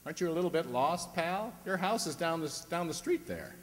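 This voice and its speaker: A chummy, effusive salesman neighbor from radio comedy, acted by a man. His voice sits fairly high and swings widely in pitch, with long hall reverb. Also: animated, fairly quick, close to an everyday voice. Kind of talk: old-time radio comedy acting. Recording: very reverberant hall stage recording.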